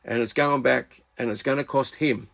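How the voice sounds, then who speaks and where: A man's voice: mid-range voice, fairly even intonation, moderate pace, creaky, fairly bright tone. A middle-aged man with an Australian accent, talking casually and bluntly at his workbench about radios he bought. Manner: blunt, exasperated, plain-spoken. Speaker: radio hobbyist channel host.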